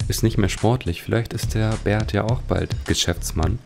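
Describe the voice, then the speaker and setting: A man's voice: lowish voice, normal speed, creaky. A man giving casual, reflective commentary as he plays a game, musing as he goes. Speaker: let's player. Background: game music.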